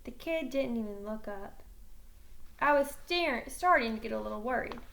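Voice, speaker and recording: A woman with a high, slightly creaky voice reads a first-person children's novel aloud. Her delivery is animated, and she leans on key words for emphasis. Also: moderate pace, slight background noise, rough recording. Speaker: teacher reading a novel aloud.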